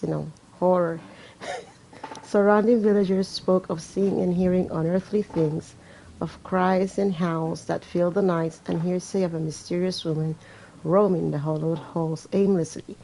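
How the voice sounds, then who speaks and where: A woman with a lowish, dark and relaxed voice plays a game on a home recording with faint noise. She reads the text aloud and slips into casual, sarcastic asides. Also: vocal fry, rough recording. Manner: sarcastic, wry, swearing asides. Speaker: let's play streamer.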